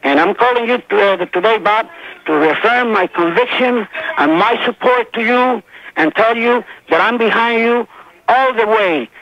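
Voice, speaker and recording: A man's voice: very high-pitched, animated, normal speed, creaky. A man from Cuba with a Cuban Spanish accent, calling into a radio show to speak formally and earnestly. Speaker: caller. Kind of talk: phone-in call. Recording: muffled phone line on AM radio.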